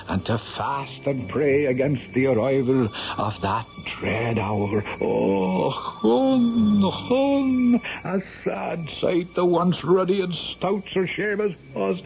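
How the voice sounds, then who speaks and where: Wily Irish storyteller, dull radio recording. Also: male voice, a little high, lively intonation, takes it slowly, few pauses, darkish tone. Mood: dramatic narrating. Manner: rambling, coaxing, theatrical brogue. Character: wily old Irish storyteller, coaxing, fond of gold. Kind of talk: 1970s radio drama acting. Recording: dull, lightly noisy vintage radio recording.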